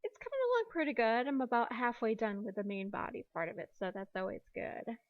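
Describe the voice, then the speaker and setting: A woman in her thirties hosting her own podcast, talking in a chatty, quick way with frequent apologetic asides. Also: fairly high pitch, animated, dull audio. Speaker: podcast host. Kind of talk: casual solo podcast chat.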